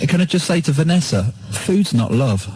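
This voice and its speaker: A man's voice: on the high side, very animated, moderate pace, darkish tone. A man appearing as a guest on a radio show, bantering casually in an emphatic, mock-indignant way. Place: radio studio. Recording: music behind the voice.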